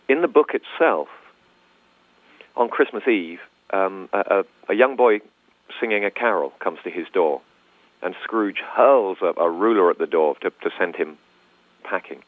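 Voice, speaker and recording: Low man on a tinny line. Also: no echo. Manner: hesitant, repeats words, pausing. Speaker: interviewed actor. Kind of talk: thoughtful interview talk. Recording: narrow, tinny phone-like line.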